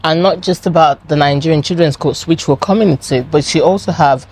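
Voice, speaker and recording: A woman's voice: deep voice, a little fast, darkish tone, husky. A woman hosting an interview, chatty and affirming, with playful asides to the viewers. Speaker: interview host. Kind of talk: conversational interview.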